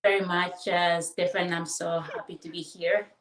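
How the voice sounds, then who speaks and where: A woman from the Democratic Republic of Congo, answering interview questions casually and haltingly, with many fillers. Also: on the low side, level tone, a bit bright. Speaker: guest, fashion business owner and designer. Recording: roomy echo, distant mic.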